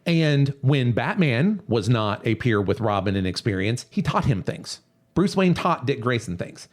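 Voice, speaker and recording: Man with very animated, swooping delivery. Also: medium pitch, a little slow. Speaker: podcast host. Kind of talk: casual analytical discussion of a cartoon series.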